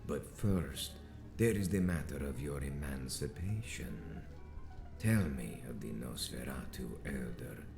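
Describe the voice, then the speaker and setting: An actor playing a grandiose, cold and imperious vampire leader in a video game, speaking in a pompous, ornate way. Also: male voice, very deep voice, animated, gravelly, echoey room, music in the background, full character voice. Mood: grandiose, commanding. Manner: pompous, ornate, deliberate delivery. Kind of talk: theatrical video game villain acting.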